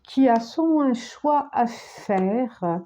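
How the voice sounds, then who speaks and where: A woman with a cold giving a tarot reading, speaking slowly with many restarts and repetitions, sometimes dropping to a near-whisper. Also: on the high side, lively intonation, dark tone, lo-fi sound. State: has a cold, coughing. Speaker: tarot card reader.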